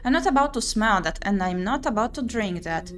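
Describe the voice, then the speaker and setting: A woman's voice: a little high, lively intonation, brisk, close to an everyday voice. A woman voicing an uneasy, sardonic young female explorer in a visual novel, with dramatic, wry self-talk. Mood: disgusted, wry. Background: game music.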